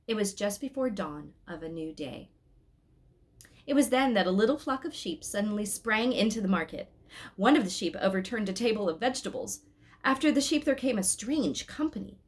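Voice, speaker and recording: A woman reading a story aloud expressively, in an informal way, with little asides to her listeners. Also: medium pitch. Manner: animated, addresses listeners with asides.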